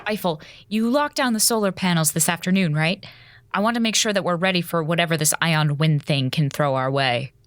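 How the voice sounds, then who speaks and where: A woman playing a no-nonsense, impatient space station commander in an audio drama, speaking briskly and directly. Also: on the low side, no echo, close to an everyday voice.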